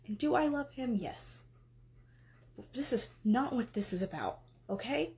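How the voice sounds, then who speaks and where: A woman voicing an exasperated goddess who scolds her husband, swinging between sharp outbursts and weary pleading. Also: a little high, animated, unhurried, a little hiss or hum. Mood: frustrated. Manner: scolding, then pleading and tearful. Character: exasperated, emotional goddess wife.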